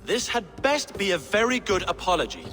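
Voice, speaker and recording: An actor playing a proud, aggrieved Saxon horse owner in a video game, speaking formally with wounded dignity. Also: male voice, high voice, very animated, a little fast, sharp tone, full character voice. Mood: grudging. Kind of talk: video game cutscene acting. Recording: long hall reverb. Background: music.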